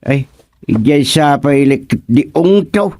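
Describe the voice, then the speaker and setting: An actor with an Ilocano accent playing a weary, grumbling villager in a radio comedy drama, speaking haltingly. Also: male voice, on the high side, animated, a little mellow, dry recording, studio quality. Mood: weary complaining.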